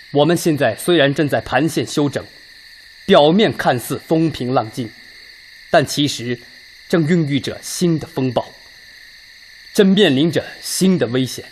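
A man playing an earnest, resolute Red Army commander in a radio drama, speaking in a measured, declamatory way. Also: fairly high pitch, slight background noise, lightly acted. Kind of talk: Chinese radio drama acting.

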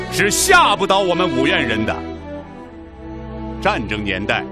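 A resolute leader addressing his missile institute in a radio drama. The voice is high and hugely varied in pitch, emphatic and declamatory, with music behind it.

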